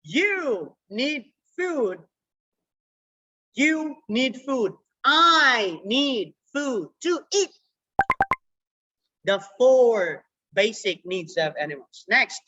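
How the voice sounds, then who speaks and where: A woman teaching a young children's science class over a video call, repeating words slowly for the kids. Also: fairly high pitch, very animated, pushed and bright. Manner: slow, repetitive, drawn-out words for children. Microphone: computer mic on a video call. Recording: dull lo-fi video call audio.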